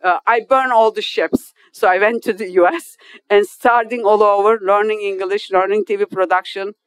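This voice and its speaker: Halting Turkish-accented woman, smooth voice. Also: mid-range voice, fairly even intonation, dry recording. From Turkey. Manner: halting, full of hesitations and restarts; non-native English grammar. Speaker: guest speaker at an inauguration. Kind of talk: formal speech to an audience.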